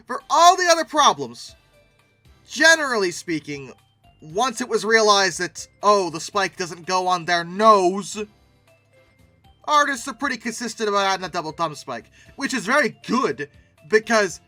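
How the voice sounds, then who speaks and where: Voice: mid-range voice, sharp tone, no room sound. A host giving casual, humorous commentary on pictures, with wry, exasperated asides and dramatic emphasis.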